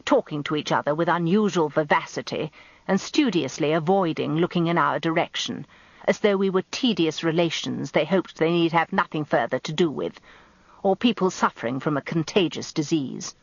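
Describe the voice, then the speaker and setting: An actress playing a genteel, self-important middle-aged English wife, narrating a radio drama in an arch, confiding, expressive way. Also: female voice, low-pitched, normal speed, creaky, no echo, lo-fi sound. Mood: gossipy.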